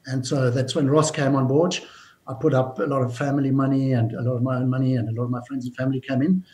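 A businesslike man tells his story as an interview guest. He often starts a phrase over before finishing it, and his pitch sits in the middle range. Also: level tone, fast, darkish tone, low-quality recording. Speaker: mining company executive, guest. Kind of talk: interview answers, recounting his career.

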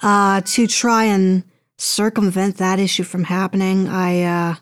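A woman's voice: mid-range voice, flat delivery, a little slow, smooth, no echo, clean studio sound. A woman speaking casually as an interview guest, thinking aloud in a hesitant, rambling way. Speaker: guest, audio drama producer and voice actor.

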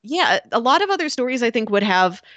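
A woman's voice: fairly high pitch, very animated, fairly quick, fairly bright tone, no room sound. A woman co-hosting a casual film discussion, acting out imagined lines of dialogue as she explains. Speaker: podcast co-host discussing films.

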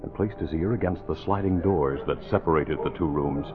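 A man narrating a scripted 1940s radio serial in a dramatic, measured storytelling manner. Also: very deep voice. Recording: muffled vintage radio, music behind.

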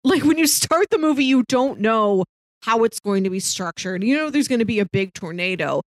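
A woman's voice: a little high, lively intonation, normal speed, no room sound, clean studio sound. A woman co-hosting a podcast, chatting casually about film in a conversational way peppered with "like.". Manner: conversational, frequent "like". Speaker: podcast co-host. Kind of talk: casual film discussion chat.

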